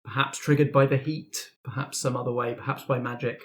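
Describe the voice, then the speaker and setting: A man narrating a tabletop roleplaying game as game master, in deliberate, descriptive phrases. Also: mid-range voice, steady tone.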